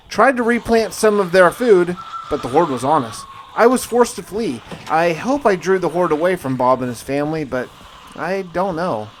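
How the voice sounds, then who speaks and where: Male gaming storyteller, voice a bit on the high side, casual first-person narration with dramatic delivery and colloquial asides. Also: a little hiss or hum, low-quality recording. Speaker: gaming video host and narrator.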